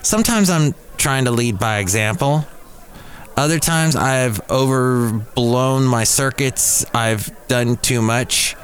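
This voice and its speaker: A man's voice: mid-range voice, slow pace. A man hosting a solo podcast in a rambling, digressive way, with playful puns. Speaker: podcast host.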